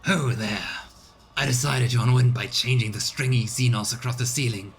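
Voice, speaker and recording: A man's voice: fairly even intonation, moderate pace, fairly bright tone, close to an everyday voice. Man voicing a gloating brutish warrior, noisy background. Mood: gloating menace. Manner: rumbling, gloating. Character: boisterous, malicious warrior hunting for sport. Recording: clear background noise.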